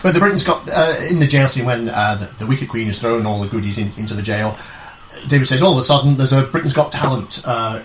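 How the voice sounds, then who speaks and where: A man's voice: mid-range voice, expressive, fast talker, fairly bright tone, a little hiss or hum, rough recording. A man hosting a conversational radio interview, recapping what was said and repeating phrases as he goes. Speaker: radio show host.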